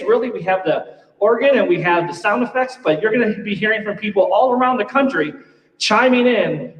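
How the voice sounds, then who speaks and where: A man speaking as a general manager, giving an informal welcome and appeal from a theatre stage. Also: on the high side. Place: theatre stage without audience. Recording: echoey empty hall, distant mic.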